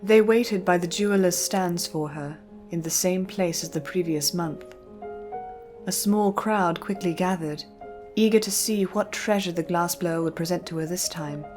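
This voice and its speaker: A woman reads scripted fiction as narrator. Her voice is on the low side and her delivery is measured and literary. Faint music plays behind her.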